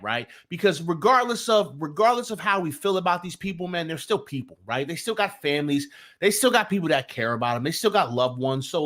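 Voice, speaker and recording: A man's voice: a little high, fairly bright tone. A young man from New Jersey with a Jersey accent, hosting a casual live sports talk stream. He talks loosely and profanely, restarting sentences and greeting his chat.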